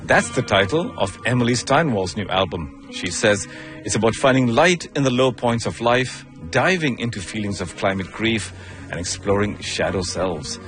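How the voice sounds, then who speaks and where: A man hosting a radio arts segment, reading introductions in a lively presenter manner. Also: on the low side, moderate pace, faint music behind.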